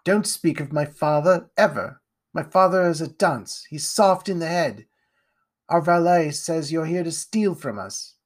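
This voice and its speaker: A man's voice: on the high side, lively intonation, a little slow, voice pushed up. A man voicing a haughty rich little boy from a storybook, with a sneering, taunting manner. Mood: angry. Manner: sneering, contemptuous.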